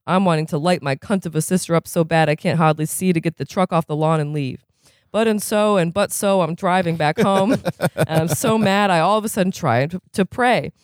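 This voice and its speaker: A reader putting on a profane, rambling man in recovery who is quick to rage and keeps asking if you know what he's saying. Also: medium pitch, dry recording, clean studio sound, lightly acted. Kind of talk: reading a novel aloud, putting on a character.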